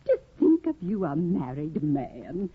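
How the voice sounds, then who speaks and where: An actress playing a doting old aunt. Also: female voice, low-pitched, wildly swooping pitch, slow pace, slightly rough, full character voice. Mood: fond. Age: older. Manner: gushing, fond, prying. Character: fussy doting elderly aunt. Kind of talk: 1950s radio drama acting. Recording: tinny old radio broadcast.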